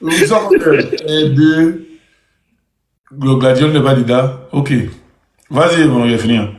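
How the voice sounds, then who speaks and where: A man moderating an online debate room, briskly passing the floor to speakers. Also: mid-range voice, reverberant.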